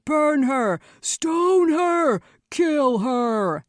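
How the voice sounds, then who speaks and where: A woman voicing frenzied, accusing townsfolk in an audiobook, shouting shrilly. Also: extremely high pitch, a little slow, no echo, studio quality, pitched above the performer's own voice. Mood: bloodthirsty. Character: frenzied angry townsfolk mob baying for blood. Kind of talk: audiobook character voice.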